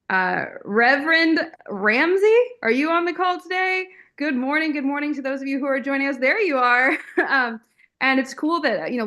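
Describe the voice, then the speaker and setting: A woman coach co-hosting a live online community call over video, speaking in an upbeat, effusive way and warmly greeting attendees by name. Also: a little high. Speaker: coach and co-host.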